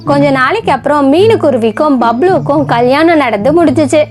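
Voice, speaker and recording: A high-voiced woman reads a children's story as narrator. Her delivery is scripted storytelling, with light music in the background. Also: lively intonation, fairly quick.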